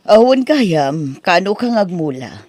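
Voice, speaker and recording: A performer playing a generous older aunt who speaks gently and reassuringly. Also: mid-range voice, big pitch swings, takes it slowly, no echo. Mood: gentle. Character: kind older aunt, generous and warm-hearted. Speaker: aunt figure. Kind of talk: radio drama acting.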